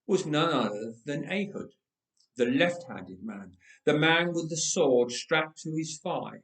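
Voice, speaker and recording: A man reading a story aloud in a dramatic, expressive, measured way with deliberate pauses. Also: on the high side, distant mic. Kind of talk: dramatic scripted story narration. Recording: roomy, echoing indoor sound.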